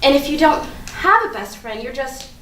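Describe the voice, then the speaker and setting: A woman's voice: sits high, big pitch swings, normal speed, a bit bright. A woman acting an anxious, guilty young woman who doubts herself, in a comic staged reading. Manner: self-doubting, repetitive, swearing. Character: anxious, guilty young woman agonizing over her messages. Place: live staged reading in an echoey room. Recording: echoey, noisy stage recording from far off.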